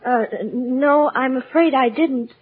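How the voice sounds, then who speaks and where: Radio fantasy heroine: wide-eyed young farm girl, very high, animated, earnest and polite, tinny vintage recording. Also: slow, breathy edge, full character voice. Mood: anxious, hopeful. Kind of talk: 1930s-50s radio drama acting. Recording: tinny old radio broadcast.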